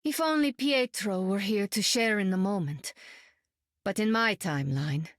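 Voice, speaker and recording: An actress playing an earnest, somber superheroine in a video game. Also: female voice, medium pitch, lively intonation, normal speed, no room sound, clean studio sound, lightly acted. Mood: wistful.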